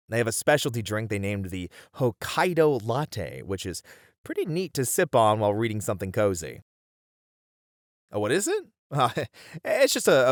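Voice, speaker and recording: Man voicing a friendly bunny guy, very lively intonation. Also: mid-range voice, no room sound, studio quality, natural voice. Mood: friendly, welcoming. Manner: chatty, helpful, easily flustered, breaking into chuckles and hesitations. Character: friendly, bashful bunny man, bookish manga cafe regular. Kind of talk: ASMR roleplay, one-sided conversation with the listener.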